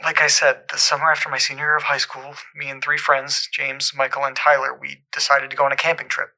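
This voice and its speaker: An actor playing a troubled caller in an audio drama, haltingly and earnestly recounting an eerie supernatural memory. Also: male voice, medium pitch, monotone, bright, forceful tone, rough recording. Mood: uneasy, haunted.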